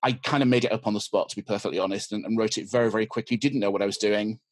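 A man's voice: on the low side, fairly even intonation, racing, no room sound, studio quality. A man, a teacher, chatting as a podcast guest in a wry, self-deprecating way. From United Kingdom. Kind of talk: casual interview chat.

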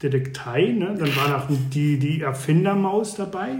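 A man chatting casually as a podcast co-host, reminiscing haltingly and often checking that his listener follows. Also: fairly high pitch, lively intonation, background noise. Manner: halting, searching for words, seeks confirmation. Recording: echoey room.

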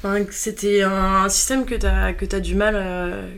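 A woman hosting a relaxed interview, at a mid pitch. She keeps things informal, cutting in with quick follow-up questions. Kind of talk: casual interview chat.

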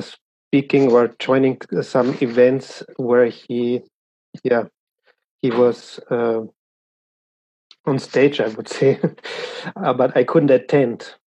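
A man talks slowly and haltingly, often pausing to find his words. His voice is husky and mellow. The recording sounds dull and lo-fi. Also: medium pitch. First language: German. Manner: hesitant, pauses searching for words. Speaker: guest. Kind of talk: casual interview talk.

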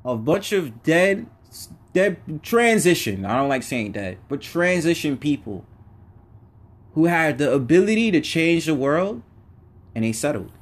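A man's voice: a little high, sing-song, unhurried. A man hosting his own podcast, giving a casual, preachy motivational monologue in everyday slang. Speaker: podcast host.

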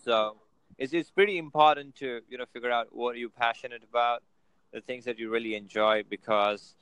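A man's voice: medium pitch, steady tone, normal speed, fairly bright tone, clean tone, dry recording, low-quality recording. A man giving casual motivational advice on a video call, filling his talk with "you know" and "right?". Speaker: guest.

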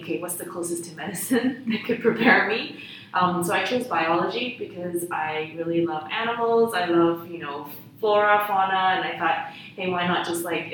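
Low-voiced woman in an echoey room. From Hong Kong and Ghana. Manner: candid, reflective storyteller. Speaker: guest, youth charity founder. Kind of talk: casual interview. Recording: echoey room, far from mic, faint noise.

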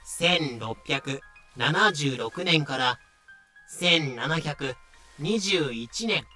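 A man's voice: on the high side, lively intonation, extremely slow, sharp tone, soft background music. A man delivering scripted dramatic narration as the storyteller.

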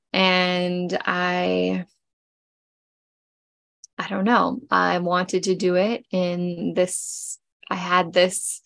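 A woman of forty speaks slowly and tenderly, with a gravelly, creaky edge. She is congested from a cold, and the sound is dry and close. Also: medium pitch, dry recording. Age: 40s. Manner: gentle and heartfelt, with frequent pauses and fillers. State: congested, getting over a cold. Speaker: podcast host. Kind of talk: personal reflective podcast talk.

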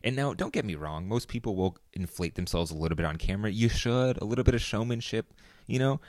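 A man's voice: lowish voice, animated, fast, darkish tone, dry recording, clean studio sound. A young man hosting his own podcast, talking alone in a casual, rambling way with lots of fillers. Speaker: podcast host. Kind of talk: solo podcast monologue.

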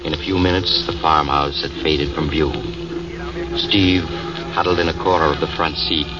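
Super deep man narrating old radio drama, far off, loud music under it. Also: steady tone, moderate pace, dull audio.

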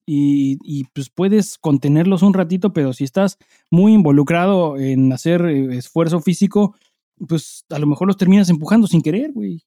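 Crude Mexican man podcasting, bright voice. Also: a little high, moderate pace, no echo, studio quality. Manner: vulgar, slangy storytelling. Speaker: podcast co-host. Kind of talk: crude comedic casual chat.

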